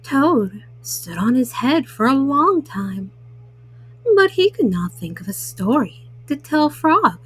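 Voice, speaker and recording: A woman telling bedtime stories to small children in a gentle manner, as a storyteller. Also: high-pitched, sing-song, slow pace, slightly rough, rough recording.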